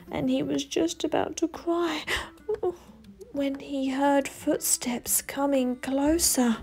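Slow, high-voiced woman reading bedtime stories. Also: creaky. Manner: expressive, dramatic emphasis. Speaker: storyteller, channel host. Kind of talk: children's bedtime story read-aloud.

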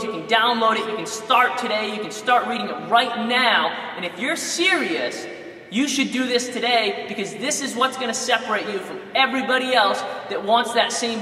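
A man's voice: sits high, sharp tone, roomy sound, long reverb tail. Young coach guy selling his program, emphatic, lapel mic, sounds a bit far off in a big gym. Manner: urgent, emphatic, direct address. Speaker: basketball coach. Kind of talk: sales pitch to camera. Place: large indoor gym.

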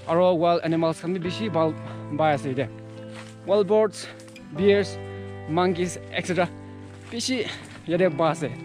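A young man from India narrating a hike outdoors in a mountain forest, talking to a handheld action camera as he walks and points things out. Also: fairly high pitch. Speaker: hiking vlogger. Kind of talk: casual narration while hiking. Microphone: action camera held at arm's length.

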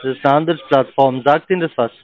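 A man cold-calling as a sales agent, in a smooth, scripted salesman tone, over a dull phone recording. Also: medium pitch, fairly even intonation, fast talker, darkish tone. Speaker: scam caller. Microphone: telephone.

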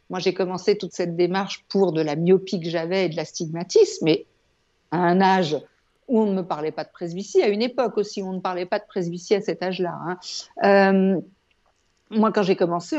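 A woman's voice: lowish voice, brisk, warm tone. A woman in her sixties explaining things conversationally in an interview, emphatic and repeating words, over a video call on earphones. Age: 60s. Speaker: guest, eye yoga trainer and author. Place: home room with shelves, indoors. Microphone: wired earphones on a video call. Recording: dull, lo-fi video call audio.

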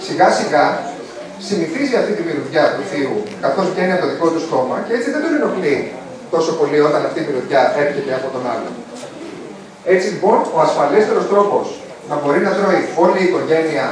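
A man's voice: fairly high pitch, very animated, rapid-fire, crowd noise. A man with a Greek accent presenting a popular science show to a live audience. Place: large hall with a live audience. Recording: echoey hall, distant mic, audience noise.

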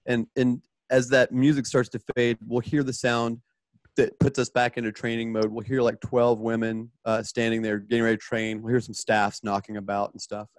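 A man's voice: mid-range voice, normal speed, dry recording. Dry-sounding man directing a radio play. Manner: informal, animated with spoken sound effects. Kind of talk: casual narration of sound cues and direction.

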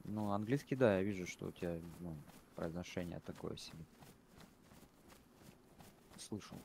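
Very low-voiced man, casual gamer chat, crude and rambling, light game noise. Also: normal speed, creaky, a little mellow. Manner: blunt, swears freely, rambling.

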